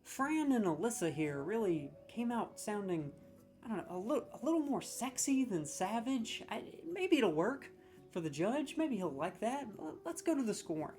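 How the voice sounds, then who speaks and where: A man's voice: high voice, sing-song, moderate pace, a little mellow, faint music behind. A man hosting a comedic video, ranting sarcastically with mock outrage as he scores game voice lines.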